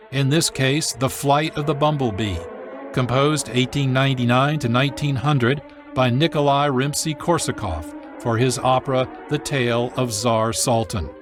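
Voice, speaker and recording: A man hosting a radio program, reading scripted narration in a measured announcer's delivery. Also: mid-range voice. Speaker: radio host and producer. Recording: dry studio, music behind.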